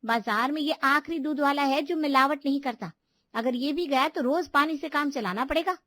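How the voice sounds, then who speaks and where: A woman's voice: sits high, moderate pace, sharp tone, dry recording, clean studio sound. A woman voicing an outspoken younger daughter-in-law in a family story, complaining and pleading her case. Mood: exasperated. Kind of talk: animated Hindi family story dialogue.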